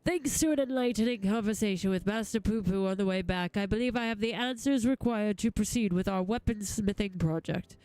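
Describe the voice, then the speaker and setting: A woman voicing a courteous, businesslike male weaponsmith in a video game, speaking in a measured, formal way. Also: mid-range voice, a little mellow, no echo, pitched below the performer's own voice, close to an everyday voice, low-quality recording. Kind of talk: video game dialogue read-aloud. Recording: faint music behind.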